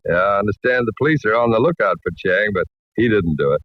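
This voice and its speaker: A man's voice: fairly low pitch, expressive, fast, no echo, close to an everyday voice. A man playing a courteous, easygoing, folksy cowboy bartender in a 1940s radio drama. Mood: earnest. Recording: dull vintage radio recording.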